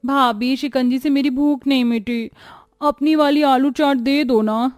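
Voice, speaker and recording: Woman putting on a high, whiny spoiled young woman. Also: fairly even intonation, a little slow, a little mellow, faint music behind. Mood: whiny, wheedling. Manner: whiny, entitled, wheedling. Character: spoiled, haughty pregnant young sisters-in-law, demanding and whiny. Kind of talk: acted Hindi cartoon moral story.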